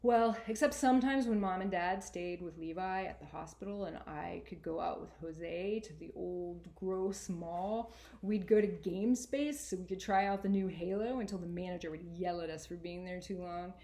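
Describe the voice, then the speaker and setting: A woman voicing a sarcastic, earnest boy narrator in an expressive, colloquial first-person storybook reading. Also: fairly low pitch, normal speed, lightly acted. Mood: candid. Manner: colloquial, exasperated, emphatic.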